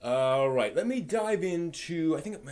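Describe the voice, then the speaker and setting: A man's voice: on the high side, animated, measured pace, a little mellow. A man hosting a live stream in a conversational way, moving between news rundown and casual asides to his viewers.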